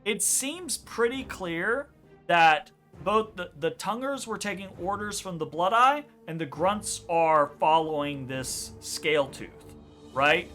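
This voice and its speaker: A man running a tabletop game as game master, casual and animated, swearing freely and trailing off with filler words. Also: high-pitched, slow, faint music behind. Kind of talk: narrating a tabletop game online.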